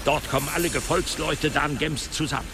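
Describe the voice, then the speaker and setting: A man's voice: a little high, brisk, over loud music, natural voice. An actor in a German fantasy radio drama playing an imposing, warlike king of monkey folk, weighty and regal. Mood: proud, grave. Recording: loud insect and jungle ambience.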